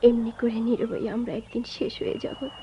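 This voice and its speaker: Through a muffled, noisy recording, an actress plays a sorrowful woman still waiting for her lost love. Her voice is fairly high, and her radio drama delivery is wistful and theatrical. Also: level tone, moderate pace, dark tone, lightly acted. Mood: melancholy, gentle. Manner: theatrical, wistful, breaking into anguished outbursts. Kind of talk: Bengali radio drama acting.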